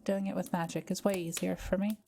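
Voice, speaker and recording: Kind solitary forest witch, played by a woman, lowish mellow voice, unhurried and softly playful, for roleplay audio drama. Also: flat delivery, creaky, slight background noise. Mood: cozy, friendly.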